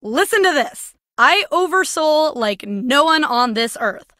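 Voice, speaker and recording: A woman's voice: sits high, lively intonation, measured pace, sharp tone, no echo, studio quality, exaggerated voice. A woman playing a brash, vain, combative young singer competing in a video game's reality show. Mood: boastful, combative. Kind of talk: scripted video game voice acting.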